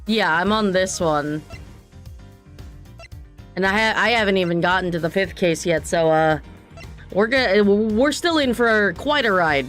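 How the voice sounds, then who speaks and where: A woman streamer with a slightly raspy voice, giving chatty, jokey commentary while playing a game. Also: mid-range voice, unhurried, pauses often, fairly bright tone, faint music behind. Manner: chatty, jokey asides to chat. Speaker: vtuber streamer. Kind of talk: playthrough commentary.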